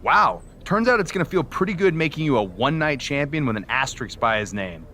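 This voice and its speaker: Proud wrestler rival, medium pitch, lively inflection, firm, game drama, faint noise. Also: male voice, a little fast. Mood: curt, irked. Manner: firm, self-assured. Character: proud, self-assured male wrestler rival. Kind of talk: dramatic video game dialogue.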